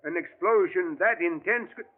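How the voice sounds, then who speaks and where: An actor playing a learned, determined professor who speaks formally and stiffly, in 1940s radio acting. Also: male voice, high voice, normal speed, natural voice. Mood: earnest. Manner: formal, stiff phrasing without contractions. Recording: tinny old radio broadcast.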